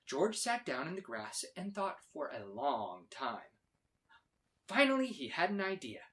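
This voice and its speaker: Guy reading a kids book out loud, super expressive, voice swoops up and down a lot. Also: a little high, unhurried. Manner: expressive, dramatic emphasis. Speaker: children's storybook reader. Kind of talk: animated read-aloud narration for children.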